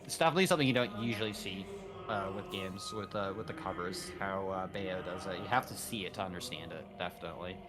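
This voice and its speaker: A man's voice: sits low, quick pace, loud music behind the voice. A man chatting casually and a bit ramblingly as co-commentator on a game stream. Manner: rambling, frequent 'like'. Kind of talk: casual chat.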